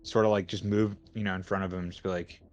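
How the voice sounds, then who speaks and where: A man's voice: sits low, fast, slight background noise. A man describing his character's moves in a tabletop game, casual and profane. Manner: animated, profane.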